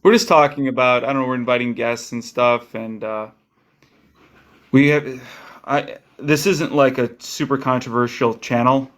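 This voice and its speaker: A man's voice: mid-range voice, steady tone, normal speed. A man hosting a livestream, chatting casually in a rambling, easygoing way. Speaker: livestream host. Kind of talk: casual chat.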